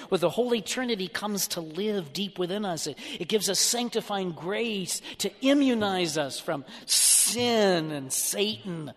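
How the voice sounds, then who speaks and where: A man preaching a homily in church, lively and expressive, sometimes slipping into a mock-whining voice to make a point. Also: high-pitched. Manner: animated, mock-whining when playing an upset child. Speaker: priest or deacon preaching a homily. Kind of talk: sermon. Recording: church hall reverb.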